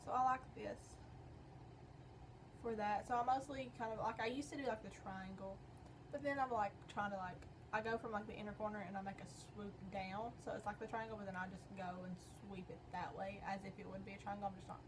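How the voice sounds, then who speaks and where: A young woman with a slightly hoarse voice, chatting her way through a makeup tutorial in a rambling, filler-heavy way. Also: medium pitch, fairly even intonation, brisk. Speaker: beauty vlogger. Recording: noisy home recording.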